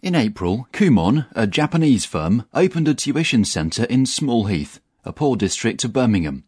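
A man reading a news article aloud as narrator, in a measured, even way. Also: mid-range voice, breathy edge, no echo, studio quality. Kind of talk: scripted narration.

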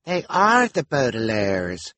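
A man's voice: fairly high pitch, very animated, measured pace, slightly rough, dry recording, voice pushed up, full character voice. A man voicing astonished, gullible hospital onlookers in a storybook reading, exclaiming and credulous.

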